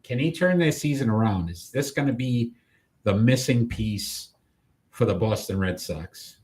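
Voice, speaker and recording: Chatty Rhode Island sports host. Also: male voice, medium pitch, lively intonation, measured pace, a little mellow. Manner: chatty, trailing off and restarting. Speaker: sports talk show host. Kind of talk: live sports talk show chat.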